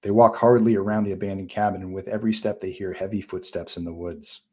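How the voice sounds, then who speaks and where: Man, low pitch, screenplay narrator, table read, short clipped phrases, scene directions. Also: steady tone, quick pace, warm tone, breathy edge. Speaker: narrator reading stage directions.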